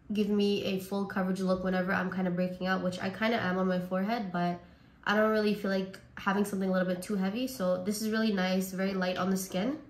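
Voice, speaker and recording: Woman, raspy, hoarse from a cold, casual makeup chat, echoey room. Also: medium pitch. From Canada. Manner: chatty, says like and honestly a lot. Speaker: beauty vlogger.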